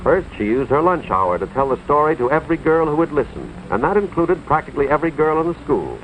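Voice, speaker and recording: An American man playing an earnest, authoritative school official, narrating a cautionary tale in a deliberate, moralizing 1950s educational film style, with dramatic emphasis. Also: on the high side, big pitch swings, slight background noise. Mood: grave, knowing. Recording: tinny, band-limited 1950s film soundtrack, music behind.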